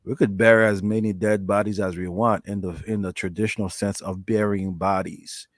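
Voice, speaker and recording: A man hosts a live talk podcast with an earnest, emphatic manner. His voice sits fairly low, and it is recorded dry and close with no room sound. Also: flat delivery, normal speed, no echo. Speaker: podcast host.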